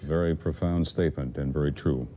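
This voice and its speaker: A man's voice: extremely low pitch, steady tone, fairly quick, dark tone, a little hiss or hum. A man co-hosting a news programme, giving conversational live commentary. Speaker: news co-host.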